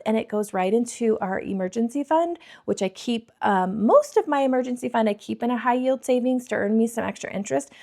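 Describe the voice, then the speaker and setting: A woman in her thirties with a croaky, scratchy throat, chatting to viewers as she counts cash for savings challenges. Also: a little high, dark tone. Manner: bubbly, addresses viewers as friends, counts money aloud. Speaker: budgeting vlogger.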